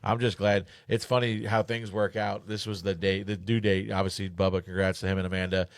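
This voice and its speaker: A man's voice: fairly low pitch, level tone, brisk, no echo. A man hosting a casual sports podcast, talking in a rambling, joking way. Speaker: podcast host and racing spotter.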